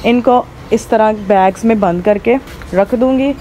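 A hoarse woman rambles through a casual vlog, her voice mellow and soft-edged. She films outdoors on a rooftop with a phone camera. Faint traffic and outdoor noise sit behind her. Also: a little high, unhurried. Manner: chatty, rambling, with many fillers. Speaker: vlogger.

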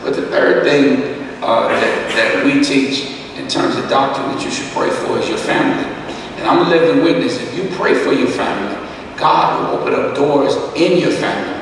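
A middle-aged man teaching a Bible study as pastor, talking conversationally with many fillers and a slightly rough voice, at a podium mic. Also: medium pitch, lively intonation, normal speed. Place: church hall, indoors. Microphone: podium microphone, far camera. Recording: echoey hall, distant mic, background hiss.

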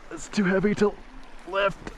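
Slow-talking man vlogging by a creek. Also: high-pitched, a little hiss or hum. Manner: excitable, exclaims at each catch. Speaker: fishing vlogger. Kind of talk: casual outdoor narration while fishing. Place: small creek in an open mountain valley outdoors. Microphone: head-mounted action camera.

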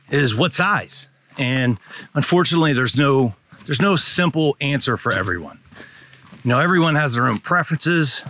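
Relaxed man vlogging on a forest trail. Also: mid-range voice. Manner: relaxed, conversational, many fillers. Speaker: outdoor gear reviewer. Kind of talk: casual gear review vlog. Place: wooded hiking trail outdoors. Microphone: handheld action camera at arm's length.

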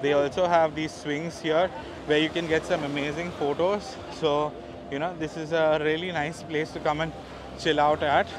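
A man's voice: fairly high pitch, flat delivery, moderate pace. A man vlogging a walking tour of a beach, chatting casually to his viewers with plenty of fillers. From India. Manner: chatty, addresses viewers as guys, many fillers. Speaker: travel vlogger. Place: outdoors on a busy beach. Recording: outdoor noise behind the voice.

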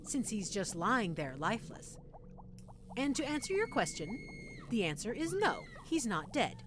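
A woman's voice: fairly high pitch, big pitch swings, unhurried, noisy background. A woman playing a sadistic, gleeful mad scientist in an audio roleplay, teasing her captive listener with sweet condescension. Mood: gleeful, proud.

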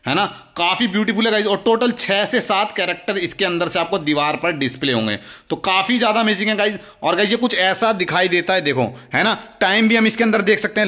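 Indian accent guy showing off toys, high voice, talks quick, chatty with viewers. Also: bright, forceful tone. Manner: chatty, repetitive, addresses viewers directly. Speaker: toy review video host. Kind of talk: casual hands-on product demonstration.

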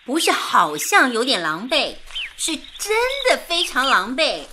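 An actress playing a proud, sharp-tongued young lady in a radio drama, quick to scold in a huff. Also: high voice, very animated, noisy background. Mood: mocking. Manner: huffy, scolding. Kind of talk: Mandarin radio drama acting.

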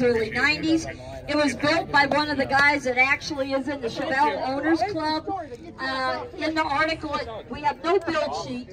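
A woman presenting a car at an outdoor estate sale auction, speaking haltingly with many fillers. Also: high-pitched. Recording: distant voice with crowd noise.

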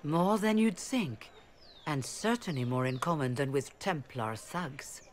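French-accented, diplomatic elf mage. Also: female voice, sits low, animated, a little hiss or hum. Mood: courteous, persuasive. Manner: courteous, measured, persuasive. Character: poised, diplomatic elf woman leading rebel mages.

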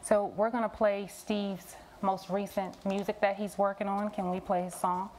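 A woman hosting a talk show, with a soft voice and an encouraging, affirming manner. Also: mid-range voice, flat delivery, moderate pace, slight background noise. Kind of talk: casual interview chat.

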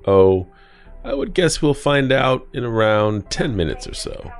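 A man playing a sinister, wry asylum inmate in an audio drama, calm and deadpan as he taunts. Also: mid-range voice, a little slow, soft background music.

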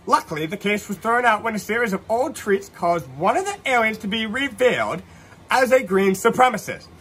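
A man's voice: high voice, very animated, brisk, a bit bright, husky, exaggerated voice. A man playing an earnest oddball who tells of alien encounters in a mock-serious, theatrical comedy monologue. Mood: dramatic storytelling.

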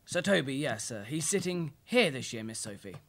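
James, low-voiced deferential butler played by a woman. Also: sing-song, normal speed, full character voice. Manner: obsequious, formal, repeating himself. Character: James, deferential elderly male English butler. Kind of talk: amateur radio play acting.

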